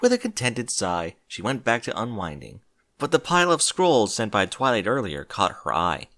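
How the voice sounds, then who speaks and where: A man's voice: on the low side, wildly swooping pitch, moderate pace, fairly bright tone. A man narrating a scripted story expressively, as a reader of fan fiction.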